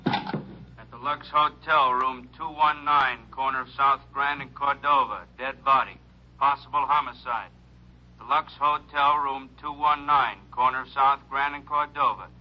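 Guy doing police dispatcher, measured, mid pitch, muffled old radio sound. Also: steady tone, lightly acted. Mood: official, flat. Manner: measured, repetitive. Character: monotone male police dispatcher relaying a call. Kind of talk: 1950s radio drama acting. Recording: dull old radio recording.

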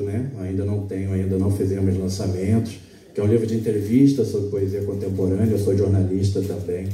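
A Brazilian man in his thirties, a poet at a stand mic on a small auditorium stage, chatting loosely with fillers and then reciting a poem. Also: on the low side. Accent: Brazilian Portuguese. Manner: relaxed, talks with many fillers, then recites steadily. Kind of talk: poetry reading at a live open mic. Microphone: stand mic, recorded by a far camera. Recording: echoey auditorium, far from the mic.

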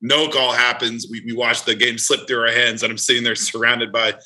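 A man's voice: mid-range voice, little pitch movement, a little fast, pushed and bright. A man from New Jersey with an American accent, telling casual anecdotes as a podcast guest. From New Jersey, United States.

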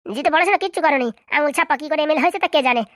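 A woman's voice: high-pitched, very fast, fairly bright tone, no room sound, studio quality. A woman voicing a whiny, cheeky young son in a comic cartoon, complaining petulantly.

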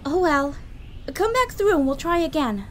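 A woman's voice: high voice, sing-song, moderate pace, slight background noise. A woman voicing an earnest, bookish young female magical pony in a cartoon comic dub, speaking precisely and urgently. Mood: businesslike.

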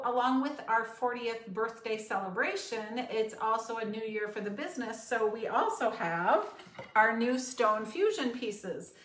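A woman's voice: a little high, fairly bright tone, slight background noise. A woman in a home kitchen, chatting upbeat to followers as she demonstrates cooking, with frequent ums. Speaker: cooking show host and sales consultant. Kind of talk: casual demo talk to followers. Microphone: far camera. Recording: echoey kitchen, mic at a distance.

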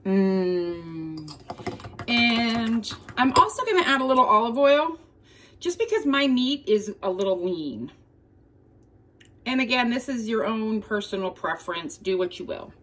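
A woman's voice: a little high, big pitch swings, unhurried. A woman giving a casual cook-along demonstration in her home kitchen, chattily talking viewers through each step. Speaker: home cooking vlogger. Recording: clear kitchen noise, clinking dishes.

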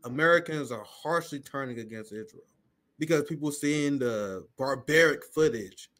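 A man's voice: mid-range voice, moderate pace, fairly bright tone. A man in his thirties co-hosting a casual reaction show over a video call, heated and scornful, breaking into laughs between points. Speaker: podcast co-host. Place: home room. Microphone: video call webcam.

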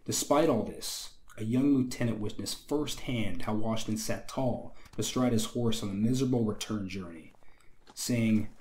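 A young man with a slightly raspy, hoarse voice, hosting a history channel and narrating from a script with wry conversational asides. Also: fairly low pitch, normal speed, a little mellow, slight background noise, poor audio. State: recovering from illness, slightly hoarse.

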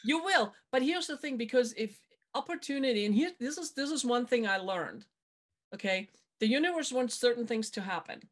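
A woman's voice: a little high, animated, a little fast. A middle-aged woman from Germany, hosting a motivational video-call interview and stressing her advice with repetition. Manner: emphatic, repeats key advice. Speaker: podcast host. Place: home room with dark backdrop. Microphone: webcam on video call.

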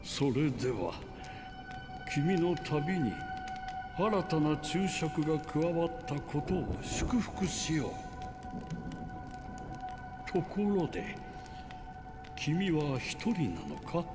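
An actor playing a courteous, philosophical, gently curious man in a Japanese video game, measured and theatrical in manner. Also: a little high, very animated, long reverb tail, music in the background.

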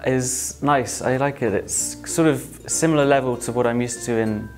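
A young man from England with an English accent, a music student answering in a relaxed, easygoing way. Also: mid-range voice, moderate pace. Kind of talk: casual interview answers.

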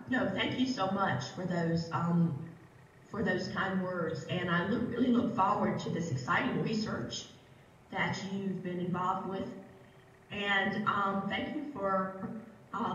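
Hoarse woman professor, halting delivery, lo-fi call audio in a roomy hall. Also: mid-range voice, fairly bright tone, recorded from a distance, slight background noise. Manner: halting, with many fillers. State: losing her voice. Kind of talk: casual remarks. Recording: dull call audio played into an echoey church.

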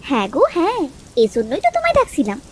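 A woman playing a nagging but devoted village housewife in a cartoon, expressive, shifting between scolding and coaxing. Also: sits high, fast talker, slight background noise. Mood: coaxing, caring. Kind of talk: cartoon voice acting.

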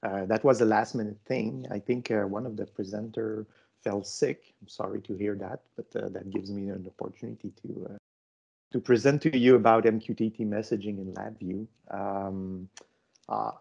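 Gravelly man presenting online. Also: lowish voice, steady tone, a little mellow. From Canada. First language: French. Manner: halting, many fillers and restarts. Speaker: conference presenter. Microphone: video call microphone. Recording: dull lo-fi call audio.

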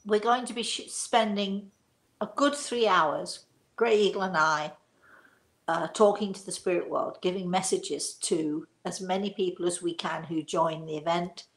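A woman's voice: lowish voice, fairly even intonation, a little slow. A woman in her sixties chatting casually as host of her own show, rambling and effusive as she drifts off on tangents. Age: 60s. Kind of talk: casual chat. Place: living room, indoors.

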